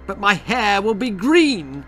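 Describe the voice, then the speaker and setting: A man's voice: sits high, sing-song, slow, a bit bright, faint music behind, voice pushed up, exaggerated voice. A man voicing a vain, bossy little girl in a children's story, screaming and scornful.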